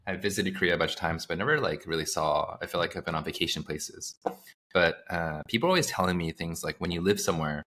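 A man's voice: deep voice, quick pace, pushed and bright, slight background noise. A man in his thirties from the United States, a startup founder telling his story in a casual interview, rambling with fillers and self-corrections.

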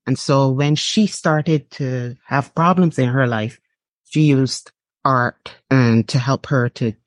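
Middle-aged Barbadian woman, telling her story haltingly. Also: very deep voice, no echo. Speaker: interview guest, artist and writer. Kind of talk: reflective personal storytelling.

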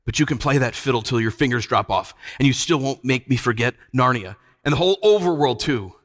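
A man voicing a stubborn, gloomy but stout-hearted fellow in an audiobook, speaking plainly and haltingly, a little short of breath. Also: medium pitch, a bit bright, no room sound, clean studio sound, lightly acted. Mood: dogged defiance. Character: stubborn, gloomy, stout-hearted male marsh creature companion. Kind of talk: audiobook character voice.

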